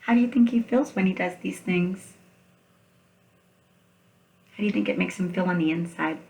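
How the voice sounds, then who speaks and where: A woman with a slightly low voice teaches a read-aloud lesson for young children. She speaks as a kindergarten teacher, putting questions to her listeners and explaining each step in simple, patient terms. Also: normal speed, a little mellow, roomy sound, rough recording.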